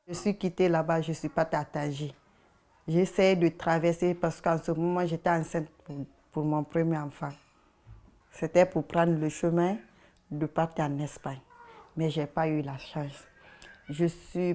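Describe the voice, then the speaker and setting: Woman, low mellow voice. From Guinea. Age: 20s. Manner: halting, plain short sentences. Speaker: interviewee. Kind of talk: documentary testimony.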